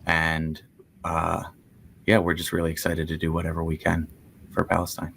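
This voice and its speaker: A man's voice: deep voice, completely flat delivery, normal speed, creaky, fairly bright tone. A man who is a union member and activist, answering in an interview in a plain, easygoing way with fillers. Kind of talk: casual interview talk.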